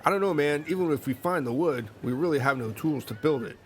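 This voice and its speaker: Man voices a glum, practical castaway, slightly high. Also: lively intonation, fairly quick, slight background noise, close to an everyday voice.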